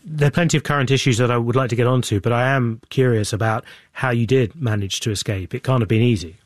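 Man asking measured questions, dry sound. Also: mid-range voice, dry recording. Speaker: interviewer. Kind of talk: broadcast interview.

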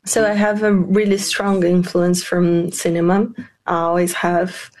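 A woman interprets a guest's answers into English in a plain, steady way. Her low voice stays flat and sounds mellow. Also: a little slow.

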